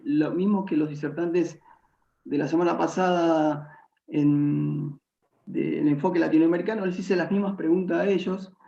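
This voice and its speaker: Gravelly man moderating online. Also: a little high, mellow. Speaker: seminar moderator. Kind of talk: informal moderating of a virtual seminar. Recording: echoey, muffled online call audio.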